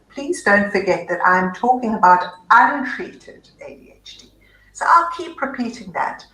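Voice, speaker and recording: A woman's voice: mid-range voice, pushed and bright, echoey room, low-quality recording. A woman from South Africa with a South African accent, a psychiatrist giving a gracious, articulate webinar talk over a video call. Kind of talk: webinar presentation.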